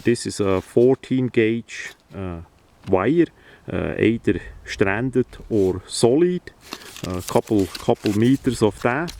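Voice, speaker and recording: A man presenting an informal, unscripted how-to demonstration in a halting, hesitant way. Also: mid-range voice, warm tone, a little hiss or hum. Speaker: video presenter, radio hobbyist.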